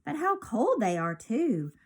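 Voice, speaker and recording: A woman voicing a small, fuzzy male caterpillar who wishes he were someone else, in a gentle storybook manner. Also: on the high side, sing-song, unhurried, full character voice. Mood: wistful.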